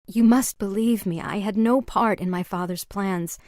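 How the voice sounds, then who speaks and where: A woman playing a gentle, sorrowful young noblewoman in a fantasy game, pleading softly and earnestly. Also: mid-range voice, normal speed, no echo, clean studio sound, natural voice. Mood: pleading, distressed. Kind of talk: fantasy video game acting.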